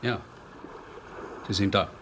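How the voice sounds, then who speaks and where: A man's voice: fairly low pitch, slow, a bit bright, breathy edge, full character voice. A man playing a dry, impatient English agent in a German radio play, clipped, ironic and formally polite. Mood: conceding. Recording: noisy old radio play recording.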